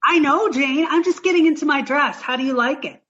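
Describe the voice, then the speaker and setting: A woman's voice: high voice, brisk, a bit bright, lo-fi sound. A woman playing a sweet, dim-witted young roommate in an old-time radio sitcom, naive and literal-minded. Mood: breezy, naive.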